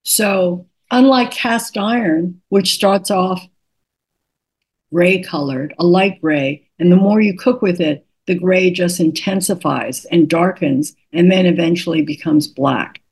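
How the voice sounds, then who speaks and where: An older woman, a cookbook author, patiently explaining cooking tips in an interview, her voice slightly raspy today. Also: on the low side, slow pace, a little mellow. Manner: patient, instructive, methodical.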